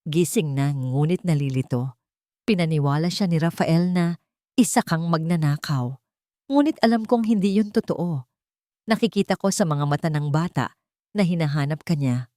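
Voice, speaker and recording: A woman plays a kindly old man, a loyal servant in a dramatic storybook. He speaks cautiously and urgently in a lowish voice, recorded very dry. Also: normal speed, no echo, lightly acted. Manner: hushed, urgent.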